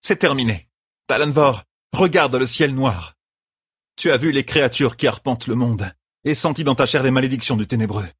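A man performs a proud mature queen in an audiobook. The tone is raised and a little husky, regal and impassioned, torn between pride and love. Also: medium pitch, moderate pace, no echo, clean studio sound, voice pushed up, lightly acted.

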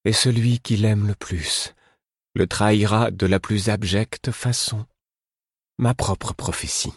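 A man's voice: lowish voice, steady tone, takes it slowly, husky, no room sound, clean studio sound, voice pushed up, full character voice. A man voicing a distraught male royal fool in an audiobook, speaking in a pleading, anguished way.

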